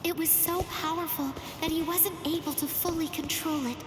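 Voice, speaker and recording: A woman voicing a gentle, earnest teenage girl in an anime game, thoughtful and sincere. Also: very high-pitched, steady tone, normal speed, background noise. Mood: wondering, reflective. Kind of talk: anime game cutscene dubbing. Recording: echoing reverb, music behind.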